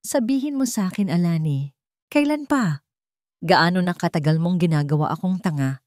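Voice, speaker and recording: A woman acting a betrayed, bitter husband confronting his wife in an accusing, emphatic, halting way in a narrated drama. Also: lowish voice, expressive, darkish tone, dry recording, studio quality, natural voice. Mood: angry, accusing.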